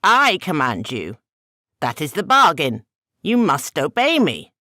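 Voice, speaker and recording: A petulant masked sorcerer in an audiobook, as a male narrator puts him on, loud and insistent, pitched higher as he commands. Dry, close recording. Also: huge pitch swings, slow, no room sound, full character voice. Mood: demanding. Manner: loud, demanding.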